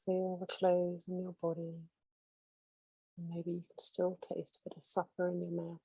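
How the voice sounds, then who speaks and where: A woman leading a guided meditation with slow, soothing, gentle instructions. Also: on the low side, monotone, creaky, slightly rough, dry recording, low-quality recording.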